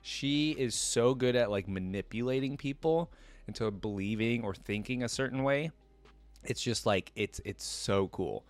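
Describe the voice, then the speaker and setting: A man hosting a reaction video, reading a story aloud and reacting excitedly with many fillers. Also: mid-range voice, a little slow, no echo, faint music behind. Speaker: reaction channel host.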